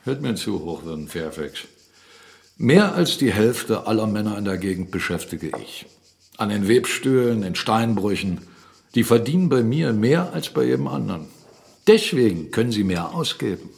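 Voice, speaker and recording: An actor playing a proud, brusque mill owner and captain in a radio drama, boastful and quick to argue. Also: male voice, lowish voice, expressive, creaky, a little mellow. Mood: insistent. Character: blunt, proud mill-owning captain, a gruff businessman.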